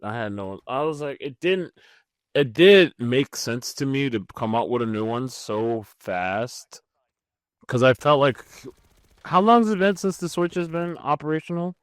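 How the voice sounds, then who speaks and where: A man's voice: mid-range voice, unhurried, smooth, dry recording. A man co-hosting a podcast in casual chat, with a joking, sarcastic way of talking. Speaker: podcast co-host.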